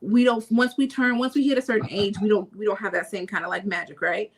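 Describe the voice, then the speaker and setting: A woman's voice: mid-range voice, fairly even intonation, fast, slightly rough. A young adult woman co-hosting a podcast in casual chat, talking with lots of fillers and frequent laughter. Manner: chatty, laughs often, many fillers. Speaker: podcast co-host.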